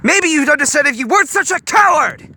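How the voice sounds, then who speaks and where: A man voicing a tough young woman who demands and shouts bluntly. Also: extremely high pitch, fairly quick, pitched above the performer's own voice, heavily acted. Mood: fierce. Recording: steady background noise.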